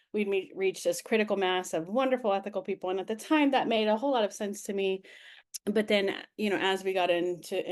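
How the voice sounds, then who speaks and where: A woman on a webinar panel who shares her own past as a former cult member, warm and thoughtful, over a video call. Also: medium pitch. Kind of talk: moderating and personal testimony on a webinar panel.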